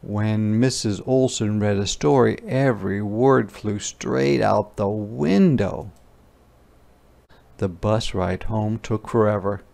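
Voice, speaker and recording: A man narrating a children's picture book aloud in a deliberate, expressive storytelling style. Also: fairly low pitch, gravelly.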